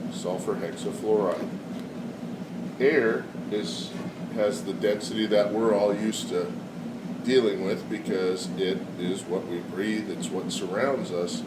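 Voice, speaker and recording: A man with a cold explaining a science demonstration in a casual, halting way with frequent fillers. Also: fairly low pitch, heavy background noise. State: has a cold. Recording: echoey room, far from mic, loud air conditioning.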